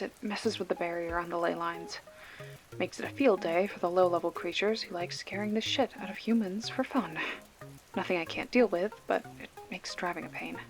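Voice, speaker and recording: A woman's voice: on the low side, lightly acted. A woman playing a sarcastic, foul-mouthed young video store clerk with a protective streak, talking casually and wryly in a one-sided phone call for an ASMR roleplay. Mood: edgy, reassuring. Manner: wry, snarky, casual with swearing.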